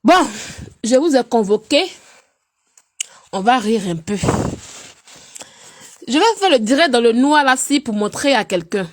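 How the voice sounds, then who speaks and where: A woman, chatting on a casual live stream while she has a cold, swinging between loud teasing and low murmurs. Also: expressive, measured pace, long pauses.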